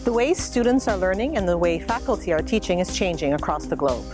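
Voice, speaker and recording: A woman speaking in a measured, reflective way in a promotional interview clip. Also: lowish voice, animated. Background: background music.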